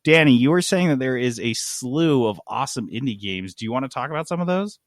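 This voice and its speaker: A man hosting a casual gaming news discussion, talking in an informal, slangy and animated way. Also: mid-range voice, brisk, dry recording, clean studio sound. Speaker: podcast host.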